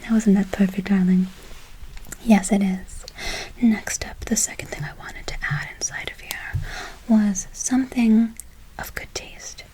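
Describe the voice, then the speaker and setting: Softly whispering ASMR witch woman. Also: medium pitch, steady tone, husky, rough recording. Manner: repeats words slowly, endearments. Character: soothing witch casting a good luck spell. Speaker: ASMR performer.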